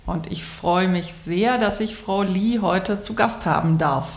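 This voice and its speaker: A woman's voice: medium pitch, measured pace, gravelly, slight background noise. A middle-aged woman pastor from Bavaria answers interview questions in fluent standard German, explaining at length. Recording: echoey room.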